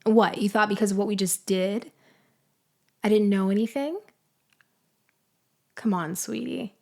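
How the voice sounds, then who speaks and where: A woman playing a betrayed, vengeful ex-girlfriend in an audio roleplay monologue, taunting with deliberate, condescending delivery. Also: medium pitch, vocal fry, darkish tone. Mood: mocking, then scornful. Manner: slow, deliberate, condescending, with sighs and pauses. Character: betrayed, vengeful ex-girlfriend confronting her framer, coolly taunting. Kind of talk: girlfriend ASMR-style dramatic roleplay monologue.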